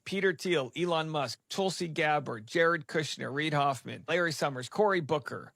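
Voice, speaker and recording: A man's voice: a little high, a bit bright, no echo, studio quality. A man giving news explainer commentary in short, punchy phrases with a dramatic, tongue-in-cheek manner.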